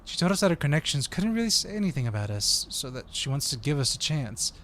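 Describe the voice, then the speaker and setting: A man's voice: fairly high pitch, very animated, fast talker, a bit bright, a little hiss or hum. A man playing an eager, friendly undercover agent in an audio roleplay, talking in a polite, chatty way. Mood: earnest, polite.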